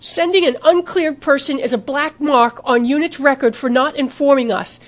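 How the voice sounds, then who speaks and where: A woman's voice: sits high, moderate pace, voice pushed up, lightly acted. A woman voicing a sulky, suspicious female scientist with a grating New York accent in an audiobook. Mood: hostile.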